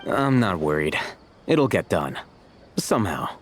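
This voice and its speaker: Game role: cool, unbothered young man, low voice, terse, deadpan. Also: a little slow, faint music behind. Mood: aloof, dry. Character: aloof, unbothered young man, dryly teasing.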